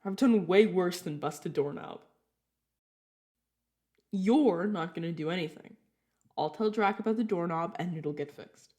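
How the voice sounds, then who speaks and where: A woman's voice: fairly low pitch, a little slow, pauses often, a little mellow. A woman playing a casual, reassuring vampire guide in an audio roleplay, talking informally to the listener as a mentor to a newcomer. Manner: chatty, informal, self-correcting, reassuring.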